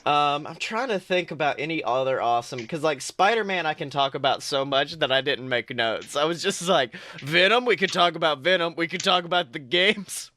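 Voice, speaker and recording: A man's voice: fairly high pitch, animated, normal speed, few pauses, bright, forceful tone. A man hosting a podcast, chatting casually with a jokey, theatrical and sometimes profane manner. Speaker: podcast host. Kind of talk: casual comedic podcast chat.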